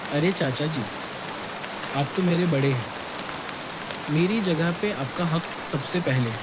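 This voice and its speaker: A man voicing a kind, humble young villager in a cartoon story, speaking in a respectful, warm-hearted way. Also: a little high, measured pace, heavy background noise, natural voice. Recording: loud rain sound effects behind. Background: rain.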